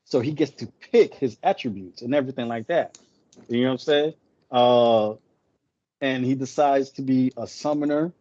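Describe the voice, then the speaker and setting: A man's voice: mid-range voice, moderate pace, warm tone, no echo, highs cut off. A man who speaks English as a later language, chatting casually as a podcast co-host and retelling a story in a rambling way, often correcting himself. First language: not English.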